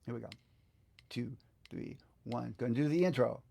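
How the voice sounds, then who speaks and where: A middle-aged or older man giving a casual hands-on software music lesson, thinking aloud in an easygoing, halting way as he works. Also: mid-range voice, vocal fry. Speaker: guitar teacher presenting a software tutorial. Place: small indoor home studio, seated at computer. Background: backing track music.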